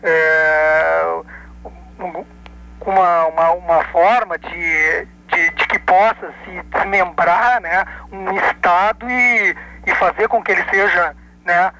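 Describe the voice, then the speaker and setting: A man's voice: sits high, steady tone, slow pace, sharp tone, low-quality recording. A man from southern Brazil with a Gaúcho accent, calling in to a radio show and speaking hesitantly with many fillers and restarts. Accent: Gaúcho Brazilian Portuguese. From Rio Grande do Sul, Brazil. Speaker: radio caller. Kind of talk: opinionated call-in chat.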